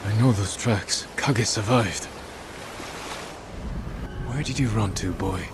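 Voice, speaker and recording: An actor playing a stoic samurai in a video game, grave, terse and measured. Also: male voice, fairly low pitch, noisy background. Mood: tender, concerned. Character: stoic, solemn samurai warrior, devoted to his horse. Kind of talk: video game cutscene acting.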